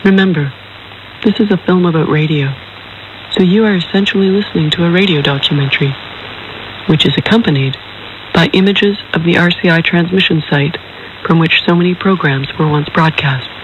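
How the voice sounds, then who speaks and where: A man reading a scripted introduction as a documentary narrator, in a measured, explanatory manner. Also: a little high, highs cut off. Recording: hissy, noisy shortwave radio reception.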